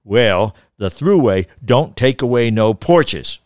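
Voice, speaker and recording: A man's voice: mid-range voice, animated, slow pace, dry recording, clean studio sound. A man voicing a stubborn old small-town grandpa in a storybook, grumbling and rambling in folksy, ungrammatical talk. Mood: grumbling, insistent.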